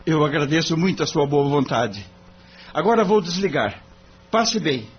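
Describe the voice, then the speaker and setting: A man's voice: on the high side, expressive, moderate pace, slightly rough, dull audio. A man playing an earnest, courteous young lawyer in an audio novela, speaking formally. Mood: grateful, courteous. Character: earnest, polite young male lawyer pleading for a meeting.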